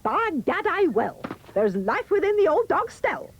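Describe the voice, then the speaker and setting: A woman's voice: high-pitched, wildly swooping pitch, normal speed, dull audio, a little hiss or hum, pitched above the performer's own voice. A woman voicing a bad-tempered, scornful mother in a comic verse story, with theatrical exclamations. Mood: indignant. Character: shrill, scolding, bad-tempered mother of a boy.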